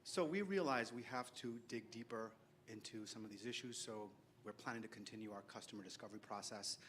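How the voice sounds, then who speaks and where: A man wrapping up a startup pitch and asking the audience for contacts, halting and full of fillers. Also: a bit bright, slight background noise. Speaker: startup team member pitching. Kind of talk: closing a presentation.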